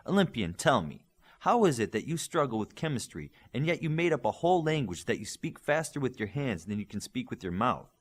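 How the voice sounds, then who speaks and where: Quick-witted teasing young heroine read by a male narrator, higher pitch, lively intonation. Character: brainy, teasing young female superhero student.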